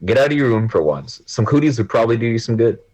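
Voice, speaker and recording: A young man playing a blunt, teasing but supportive gamer friend with a husky voice in an amateur audio drama. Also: mid-range voice, big pitch swings, fast talker, a little mellow, low-quality recording.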